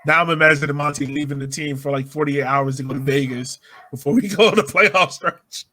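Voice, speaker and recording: A man hosting a sports podcast, chatting casually and colloquially about soccer in an animated, joking way. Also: a little high, brisk, dry recording. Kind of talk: casual soccer game discussion.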